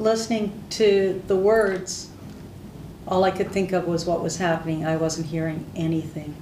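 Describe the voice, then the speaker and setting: A woman's voice: on the low side, a little mellow, distant mic, slight background noise. An older woman, a native Hungarian speaker, telling stories from her service candidly in an oral history interview. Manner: candid, plain-spoken storytelling. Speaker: veteran interviewee. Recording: roomy echo.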